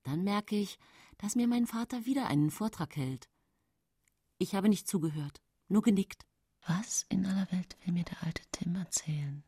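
An actress playing a secretive nineteenth-century woman poisoner who tells her own story in hushed, composed radio drama narration. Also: mid-range voice, normal speed, slightly rough, dry recording, clean studio sound, close to an everyday voice. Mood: cool, hushed. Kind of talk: intimate first-person radio drama narration.

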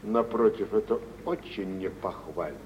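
An actor playing a self-important, wealthy older gentleman, pompous and deliberate, in an old theatrical radio drama. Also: on the low side, close to an everyday voice. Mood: disapproving, pointed. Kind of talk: 1940s theatrical radio acting. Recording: dull, noisy vintage radio play recording.

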